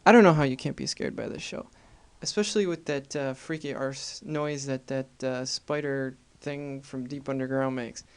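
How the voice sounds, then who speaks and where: A man with a smooth, clear, medium-pitched voice chats as a podcast host. His delivery is casual and informal, a little hesitant. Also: steady tone, normal speed. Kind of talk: casual solo podcast commentary.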